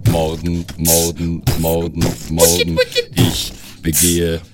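A man's voice: low-pitched, completely flat delivery, slow, over loud music. A man voicing a murderous killer robot that chants a repetitive rap hook. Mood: murderous, chanting.

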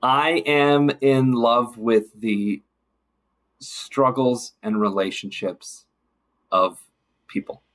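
A man's voice: medium pitch, steady tone, very slow, long pauses, a bit bright. A man speaking as a podcast guest in a casual, reflective conversation, earnest and thoughtful.

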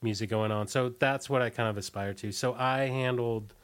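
A man's voice: medium pitch, steady tone, smooth. A man reminiscing casually as an interview guest, telling stories loosely and often trailing off. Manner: halting, trailing off, loose storytelling.

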